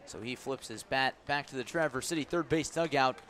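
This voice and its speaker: A man's voice: mid-range voice, brisk, fairly bright tone. A man calling live baseball play-by-play on radio in a descriptive way, raising his voice on the action. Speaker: play-by-play announcer. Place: outdoors at a ballpark. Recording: outdoor ambient noise behind.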